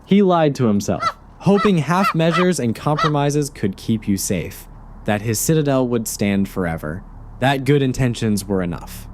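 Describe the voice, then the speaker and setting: A man's voice: medium pitch, lively intonation, moderate pace, lightly acted. An actor playing a smug, ruthless male villain in an audio drama, sneering with mock politeness and wordy taunts. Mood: smug, taunting. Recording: clear background noise behind.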